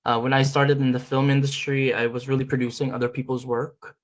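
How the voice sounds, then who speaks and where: A man's voice: mid-range voice, flat delivery, fairly quick. A man from the Philippines, a filmmaker answering interview questions in a reflective way with many fillers and restarts. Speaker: filmmaker guest.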